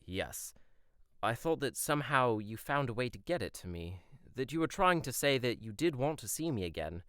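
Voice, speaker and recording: A man's voice: mid-range voice, very animated, normal speed, a bit bright, smooth, no echo, studio quality. A young man playing an earnest, playful prince who speaks formally in a fairytale audio drama. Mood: candid. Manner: formal, old-fashioned wording.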